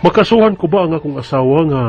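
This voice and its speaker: A man's voice: fairly high pitch, lively intonation, fast talker, darkish tone, lo-fi sound. An actor playing a betrayed, aggrieved working father in a Bisaya radio drama, earnest and emotive, with a Filipino accent. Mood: bitter, reflective.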